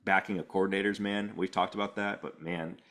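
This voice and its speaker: Low-voiced man, easygoing podcast chat. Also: flat delivery, brisk, fairly bright tone. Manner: casual, says "man" a lot, stammers. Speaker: podcast co-host. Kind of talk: casual sports podcast chat.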